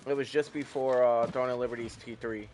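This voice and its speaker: A man's voice: mid-range voice, fairly even intonation, a little fast, a little mellow, a little hiss or hum. A man streaming a game, chatting casually to viewers in a laid-back, rambling way with casual swearing. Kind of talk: casual gameplay commentary.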